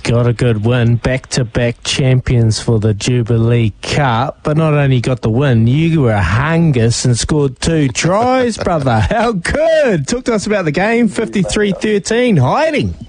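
A man's voice: mid-range voice, lively intonation, a little slow, hardly any pauses, darkish tone, no echo. A man with a New Zealand accent co-hosting a sports radio show, enthusiastic and slangy.

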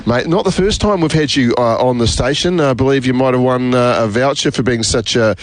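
A man's voice: mid-range voice, brisk. A man with a New Zealand accent hosting a sports radio show, chummy and upbeat with his guest.

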